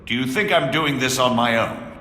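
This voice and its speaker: Man playing a sardonic outlaw lord, bright and echoey. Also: mid-range voice, normal speed, lightly acted. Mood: defiant, resigned. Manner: sardonic, theatrical. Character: shrewd, sardonic male outlaw nobleman, medieval villain. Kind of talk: video game cutscene acting. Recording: very echoey hall-like reverb.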